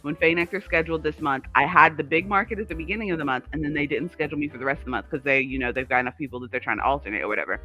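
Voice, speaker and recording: A man's voice: fairly high pitch, very fast, few pauses, sharp tone, highs cut off, faint music behind. A man co-hosting a livestream, chatting casually in a rambling, digressive way. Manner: chatty, digressive, talks to live viewers. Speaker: livestream co-host.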